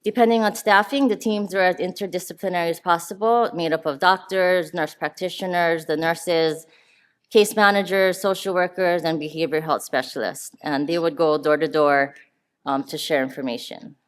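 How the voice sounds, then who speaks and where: A woman's voice: lowish voice, steady tone, normal speed, clean tone. A woman giving a conference talk on public health work, earnest and walking through her slides. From Hawaii. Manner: earnest, talks through slides, some restarts. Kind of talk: conference presentation. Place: conference room. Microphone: handheld or podium mic.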